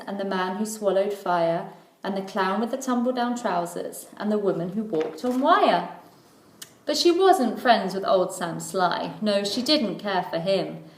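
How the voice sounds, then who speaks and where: A woman reading a children's storybook aloud like a teacher, in measured, rhythmic phrases. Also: mid-range voice. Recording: echoey room.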